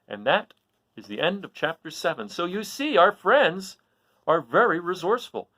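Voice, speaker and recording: A man reading a children's story aloud with expressive, dramatic and emphatic phrasing. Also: sits high, normal speed, no room sound.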